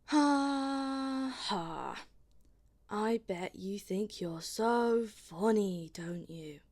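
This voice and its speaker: A woman's voice: mid-range voice, slow pace, mellow. A woman playing a sarcastic, wary, lonely but plucky human in an audio drama, in a naturalistic, informal and emotive way.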